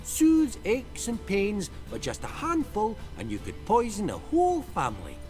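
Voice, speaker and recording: Chatty old village herbalist, folksy and sing-song, high voice swinging all over, game character. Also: male voice, huge pitch swings, measured pace, darkish tone, soft background music, full character voice. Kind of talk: video game character acting.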